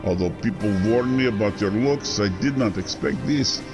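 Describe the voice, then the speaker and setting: A man's voice: on the low side, normal speed, dull audio, lightly acted. A man playing a formal, blunt, businesslike Russian crime boss in an audio drama. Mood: formal, curious. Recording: music under the voice.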